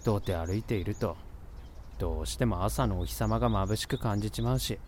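A woman's voice: a bass voice, a little fast, a little mellow, a little hiss or hum. A woman voicing a gloomy, sarcastic male college student in a voice drama, with blunt, slangy, grumbling talk. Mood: weary, self-deprecating. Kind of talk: Japanese voice drama with inner monologue.

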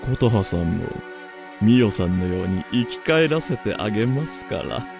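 An actor playing a calm, smug, philosophizing villain in a Japanese voice drama, polite and theatrical. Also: male voice, lowish voice, moderate pace, vocal fry. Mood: coldly taunting. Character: calm, smug, philosophizing male villain who experiments on life. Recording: music behind the voice.

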